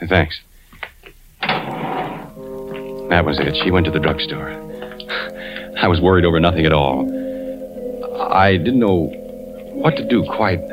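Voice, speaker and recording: An actor playing an anxious, suspicious husband, narrating hesitantly in a 1940s radio drama. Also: male voice, sits low, moderate pace, long pauses, natural voice. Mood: uneasy. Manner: hesitant, brooding confession. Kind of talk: 1940s radio acting, first-person narration. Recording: dull 1940s radio recording, music behind.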